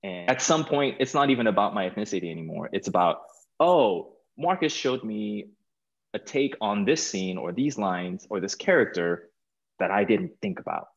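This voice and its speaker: A middle-aged man with an American accent and a fairly low voice answers as a guest in a casual interview. He speaks informally and haltingly, circling back over words. The sound is a little muffled, as on a video call. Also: animated, creaky. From California, United States. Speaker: guest, stage performer. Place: small plain room indoors, video call.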